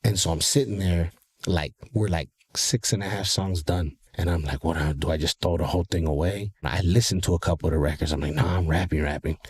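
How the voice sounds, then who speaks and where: A man with a nasal voice, a rapper talking loosely as a guest, animated and full of swearing and fillers. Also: low-pitched, a little fast, breathy edge. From Ontario, California. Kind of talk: casual interview chat.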